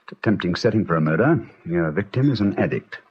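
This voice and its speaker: A man playing an assured, incisive British gentleman detective in an old radio drama, with a precise, theatrical delivery. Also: low-pitched, lively intonation, fast talker, slightly rough. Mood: keen, deliberate. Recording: dull, lo-fi vintage radio recording.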